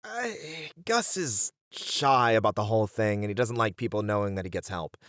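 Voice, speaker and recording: A man's voice: fairly low pitch, lively intonation, normal speed, a bit bright, no echo. Man playing an exasperated idol band manager, close and dry. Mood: reluctant. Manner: dry, chiding banter. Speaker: voice actor. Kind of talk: scripted ASMR roleplay audio drama.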